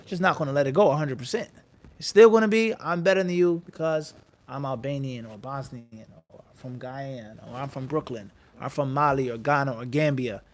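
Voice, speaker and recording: A man's voice: medium pitch, brisk, slight background noise. A man teaching an Islamic class in a conversational, prompting way, asking his listeners questions and mixing Arabic terms into his English. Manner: animated question-and-answer, prompting listeners to finish his sentences. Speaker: Islamic teacher leading a live-streamed class. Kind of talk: religious lecture to a class, conversational. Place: mosque class.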